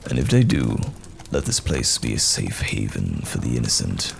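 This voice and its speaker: A man playing a gruff but kindly knight general in a fantasy audio roleplay, speaking in hearty, archaic soldierly phrases with a gravelly voice. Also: wildly swooping pitch, moderate pace, slight background noise, heavily acted. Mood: earnest, protective. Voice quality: very deep, gravelly.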